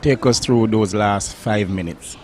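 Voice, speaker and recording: A man working as a reporter, asking short, brisk questions. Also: fairly low pitch, a little mellow.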